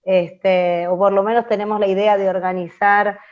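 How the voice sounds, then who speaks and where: A woman's voice: medium pitch, little pitch movement, moderate pace. A woman with an Argentine accent leading a conversational class, reflective and adding little tag questions, in a dull recording. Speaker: radio and podcast workshop instructor. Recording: dull lo-fi sound.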